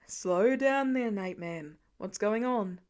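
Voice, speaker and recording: A woman voicing a calm, soothing father with gentle dry humour in a storybook reading. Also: medium pitch, takes it slowly.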